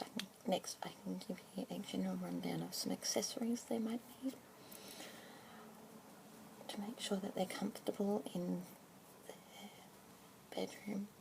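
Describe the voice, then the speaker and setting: A young woman with an Australian accent whispering softly and gently as a pet adoption consultant in an ASMR roleplay. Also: medium pitch, vocal fry. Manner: soft whispering, gentle and unhurried. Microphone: close sensitive ASMR mic. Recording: noisy hiss behind close whisper.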